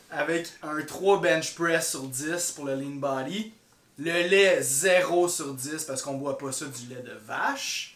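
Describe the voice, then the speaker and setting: A man with a Québécois accent chimes in on a podcast with jokey, mock-solemn ratings. His voice sits a little high. The room is echoey. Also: a bit bright. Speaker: podcast co-host. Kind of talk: casual chat. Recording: roomy echo.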